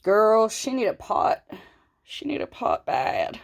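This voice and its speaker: A woman's voice: fairly high pitch, wildly swooping pitch, slow pace, vocal fry. A woman with a stuffy, snuffly voice from a cold, chatting casually at home as she shows her plants. She rambles, recorded on her phone camera. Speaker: plant vlogger. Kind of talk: casual chat while handling plants. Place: at home indoors.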